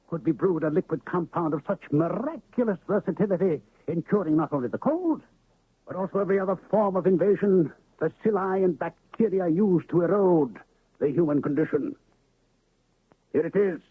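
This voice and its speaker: An actor in a radio drama playing a pompous, florid traveling medicine-show pitchman who pours out a grand sales patter, on a narrow lo-fi old radio recording. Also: husky, heavily acted. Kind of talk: 1970s radio drama acting.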